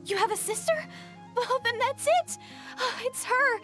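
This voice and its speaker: A woman's voice: very high-pitched, animated, measured pace, sharp tone, soft background music, heavily acted. A woman voicing a shy, easily flustered young village woman in a game, who talks hesitantly and stammers. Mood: shy earnest. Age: young adult. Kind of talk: game dialogue.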